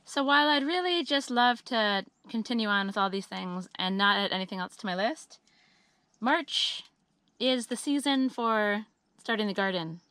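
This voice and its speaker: A woman giving a chatty, upbeat monthly update on her homestead work, her voice a little scratchy and hoarse, with frequent ums and uhs. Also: medium pitch, lively intonation, normal speed, sharp tone, no room sound. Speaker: homesteading vlogger. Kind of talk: casual monthly update vlog.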